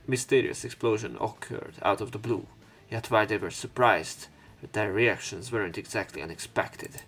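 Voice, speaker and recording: A man's voice: lowish voice, fairly bright tone. A man reading a visual novel aloud as a let's play narrator, dramatic in the story parts and casual in his commentary. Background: game music.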